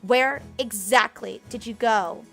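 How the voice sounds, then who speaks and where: A woman's voice: on the high side, slow pace, vocal fry, pushed and bright, faint music behind, exaggerated voice. Woman acting an indignant, firm, stern maid. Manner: firm, reproachful lecturing. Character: indignant, blunt female maid, protective and stern.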